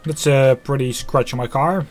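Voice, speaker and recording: A man's voice: mid-range voice, steady tone, moderate pace, a little mellow, faint music behind. A man live-streaming, giving casual, easygoing game commentary as he muses aloud.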